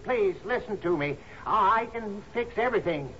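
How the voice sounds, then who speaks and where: An actor playing a captain's steady, deferential right-hand man in a 1970s radio drama, measured and soothing. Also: high voice. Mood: earnest, assuring. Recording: muffled, noisy 1970s radio broadcast.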